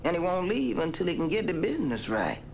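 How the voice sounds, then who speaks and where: An actress playing a brash, salty American street informant in a video game, with a gravelly voice and a folksy, sardonic way of talking. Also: female voice, on the low side, big pitch swings, quick pace, a little mellow, a little hiss or hum. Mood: sardonic, spiteful. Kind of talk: video game cutscene acting.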